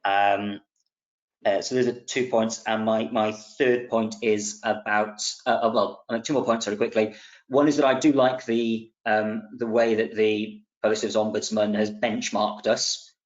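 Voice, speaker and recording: A man, a committee member on a remote council meeting call, speaking haltingly with many restarts. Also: lowish voice, level tone. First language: Welsh speaker. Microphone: video call. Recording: dull lo-fi remote call audio.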